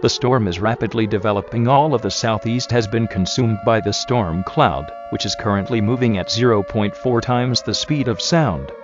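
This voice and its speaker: A man playing a solemn emergency broadcast announcer, reading scripted warnings in a formal, measured manner. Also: lowish voice, music in the background, close to an everyday voice. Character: solemn male emergency alert system announcer. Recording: dull lo-fi, roomy echo.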